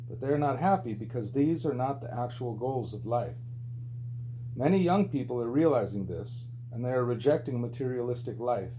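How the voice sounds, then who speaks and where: A man reading a spiritual book aloud as scripted audiobook narration, with a mellow voice. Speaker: audiobook reader. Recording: low hum and background noise.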